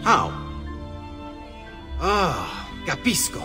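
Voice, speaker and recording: An actor playing a brisk, streetwise Renaissance gang leader with an Italian accent in a video game. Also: male voice, sits high, sing-song, over music, heavily acted. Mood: calculating.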